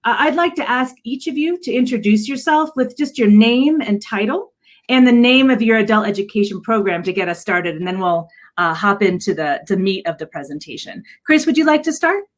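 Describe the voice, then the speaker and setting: A woman's voice: a little high, animated, a little fast. Woman hosting a virtual panel, hesitant delivery. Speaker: panel host and adult education director. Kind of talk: hosting a virtual panel, semi-scripted remarks. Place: video call.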